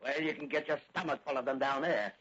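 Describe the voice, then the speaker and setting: An actor playing a cold, sardonic, commanding racketeer chief in a 1940s radio crime serial. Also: male voice, medium pitch, steady tone, fast, fairly bright tone, breathy edge. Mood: gloating menace. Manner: mock-polite, commanding, theatrical. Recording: muffled old 1940 radio recording.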